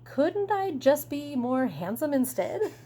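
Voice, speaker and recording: A woman's voice: high-pitched, big pitch swings, slow pace, warm tone, voice pushed up, exaggerated voice. A woman voicing a plucky, eager little male monster truck from a storybook, boastful and wanting attention. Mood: wishful.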